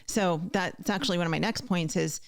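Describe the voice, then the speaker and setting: A woman's voice: lowish voice, a little fast, gravelly, no echo. Woman host in a close, dry recording. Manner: earnest, emphatic, directly addressing listeners. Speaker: podcast host. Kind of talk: heartfelt conversational encouragement.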